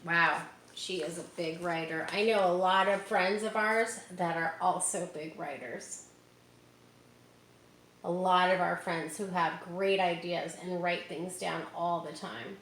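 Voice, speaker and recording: A woman's voice: lowish voice, fairly even intonation, measured pace, a bit bright. A woman reading a picture book aloud to children, speaking to them directly and encouragingly with casual asides. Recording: roomy echo, faint background noise.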